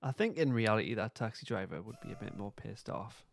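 A man's voice: fairly low pitch, expressive, brisk, vocal fry. A man hosting a podcast, casually recapping and discussing in an informal way. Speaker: podcast host.

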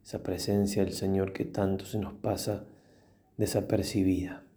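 Male priest, low and mellow, reverent and unhurried, for guided prayer meditation. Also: flat delivery. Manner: slow, reverent, with long pauses.

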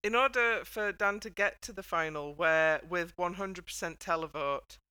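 A woman's voice: lowish voice, moderate pace, pushed and bright, smooth, no room sound. A British woman hosting a casual panel chat with a wry, dry sense of humour. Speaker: podcast host.

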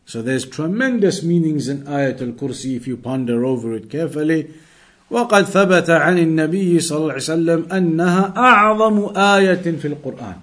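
A male religious teacher with a fairly high voice leads a lesson. He reads from a text and explains it in an instructive way, restating key phrases so that they stand out. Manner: didactic, repeats key phrases for emphasis.

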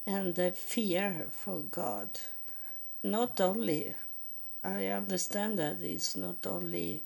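Swedish woman, English second language, hesitant, word-searching vlog monologue, heavy vocal fry. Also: fairly low pitch, darkish tone. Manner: halting, searching for words, many restarts. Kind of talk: slow personal spiritual monologue.

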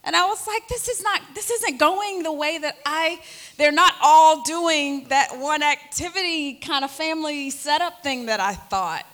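A woman in her forties hosts a faith talk show. She tells stories about her family with earnest, animated energy and leans on fillers as she goes. She then turns warmly to introduce a guest. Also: high-pitched, a little slow. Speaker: host.

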